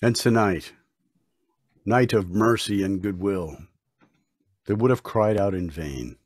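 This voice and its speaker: A mysterious, gray-haired doctor, acted by a man in an old-time radio drama. His lowish voice is measured, calm and solemn, with a dry, close sound. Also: no room sound.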